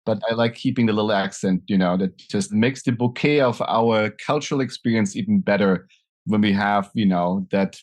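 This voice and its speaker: A man's voice: fairly low pitch, moderate pace. A middle-aged man from Germany with a German accent, speaking thoughtfully as an interview guest with many fillers and restarts. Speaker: guest, nonprofit founder. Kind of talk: conversational interview.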